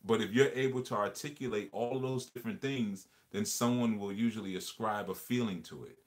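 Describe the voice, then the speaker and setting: Man, low-pitched voice, livestream host, earnest, reflective, repeats phrases. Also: fairly even intonation, a little fast. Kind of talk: casual livestream discussion.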